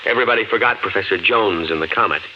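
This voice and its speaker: An actor playing a calm, reassuring husband in a 1950s radio drama, speaking in a measured, explanatory way. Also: male voice, lowish voice, natural voice. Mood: explaining. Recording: dull, lightly hissy 1950s radio recording.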